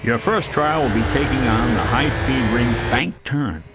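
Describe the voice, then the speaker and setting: A man giving scripted, instructional narration as a driving tutor, clearly enunciated, with racing car noise behind him. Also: medium pitch, measured pace. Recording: loud race car engine noise. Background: racing game car sounds.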